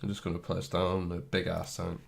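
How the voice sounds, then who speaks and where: Deep croaky guy with a cold, chatting his way thru a game tutorial while he types. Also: completely flat delivery, moderate pace, slight background noise. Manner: thinks aloud while clicking and typing, loose and chatty. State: has a cold, sniffly. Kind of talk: casual screen-recorded tutorial.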